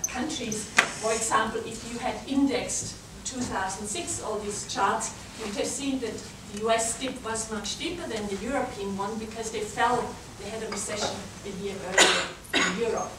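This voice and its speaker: German-accented woman lecturing in an echoey hall. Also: medium pitch. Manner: hesitant, many fillers and restarts. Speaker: guest lecturer. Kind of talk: academic talk to students. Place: large lecture room. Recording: echoey lecture hall from far off, background noise.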